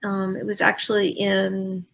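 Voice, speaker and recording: Gravelly-voiced woman in her sixties. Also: mid-range voice, completely flat delivery, a little slow, fairly bright tone. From Louisiana, USA. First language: French. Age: 60s. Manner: heartfelt, rambling with many fillers. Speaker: guest. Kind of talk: personal storytelling in an interview.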